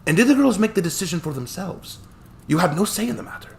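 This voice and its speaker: A man reading a story aloud and voicing a cheerful, chatty old Scottish male lawyer, raised higher than his own voice, with a lilting Scottish accent. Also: expressive, quick pace, gravelly, a little mellow, a little hiss or hum. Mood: probing.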